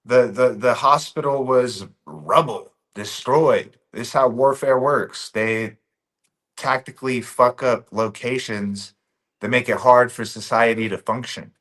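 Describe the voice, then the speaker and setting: A man from Bosnia talks as a guest in a casual podcast chat. His voice sits at an ordinary middle pitch. He speaks earnestly and stresses his points by repeating them. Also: measured pace, a bit bright. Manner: earnest and emphatic, repeats key phrases.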